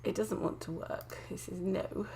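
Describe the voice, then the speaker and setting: A woman from England, chatting casually to viewers on a live stream from her desk, croaky and sniffly with a cold, often laughing. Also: medium pitch, steady tone, measured pace, warm tone, slight background noise. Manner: chatty, giggly, greets viewers by name. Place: home desk indoors.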